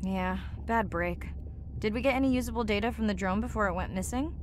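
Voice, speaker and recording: An actress playing a warm, eager marine scientist and diver in natural video game dialogue. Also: female voice, medium pitch, a little fast, noisy background, close to an everyday voice. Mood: warm, conversational. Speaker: voice actor. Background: music.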